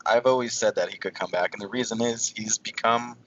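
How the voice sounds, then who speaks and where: A man's voice: fairly low pitch, a little fast, fairly bright tone, muffled recording. A man co-hosting a podcast, explaining his opinion in casual commentary. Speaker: podcast co-host.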